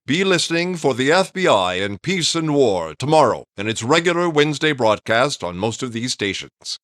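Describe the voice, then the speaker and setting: Male network promo announcer, 1950s style. Lively intonation, polished, very dry sound. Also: mid-range voice, normal speed, no echo. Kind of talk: 1950s radio announcing, scripted.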